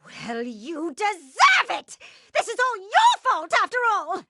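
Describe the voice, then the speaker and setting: Shrill, bratty little gem villain. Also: female voice, huge pitch swings, slow, pushed and bright, no echo, studio quality, heavily acted. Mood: indignant. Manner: petulant, blaming, imperious. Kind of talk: animated game voice acting.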